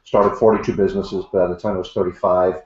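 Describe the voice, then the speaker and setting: Older irish guy, lowish voice, lots of uh's, roomy dull audio. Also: level tone, fast, darkish tone. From Ireland. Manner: dry self-mocking humor, many "uh" fillers. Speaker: guest author and consultant. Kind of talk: online panel conversation. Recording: echoey room, dull lo-fi sound.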